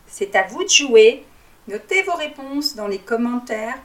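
A calm, measured woman coach whose first language is Arabic, with a fairly high voice. She guides listeners through a reflective exercise at an unhurried pace and keeps posing questions to them. The voice sounds a little distant from the microphone. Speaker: life coach.

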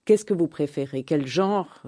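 Woman, mellow soft-edged tone, radio host, literary interviewer. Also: low voice, animated, a little slow.

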